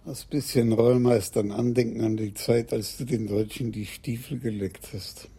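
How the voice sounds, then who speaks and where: An actor playing a stern, authoritative older police chief in a radio crime drama, creaky-voiced, clipped and commanding. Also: male voice, medium pitch, steady tone, normal speed, warm tone. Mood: defiant.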